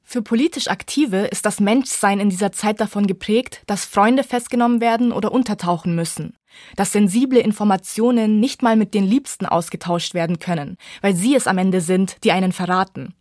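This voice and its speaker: A woman's voice: medium pitch, brisk, a little mellow, dry recording, studio quality. A woman reporter reading a scripted radio book review feature.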